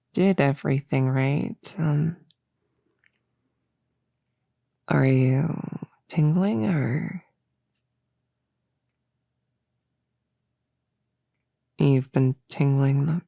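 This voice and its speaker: A woman playing a flustered, earnest young novice witch in an ASMR audio roleplay, soft-spoken and halting, slipping into whispers. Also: low-pitched, vocal fry, dry recording, exaggerated voice. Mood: puzzled.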